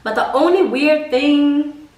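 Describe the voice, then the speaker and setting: A young woman casually telling personal stories to camera in a blunt, expressive, slangy way with plenty of swearing. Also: high-pitched, takes it slowly. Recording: echoey room, mic at a distance.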